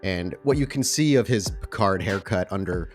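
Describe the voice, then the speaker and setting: A man's voice: deep voice, animated, moderate pace, over music. A man working as game master, improvising descriptive narration for a tabletop roleplaying game.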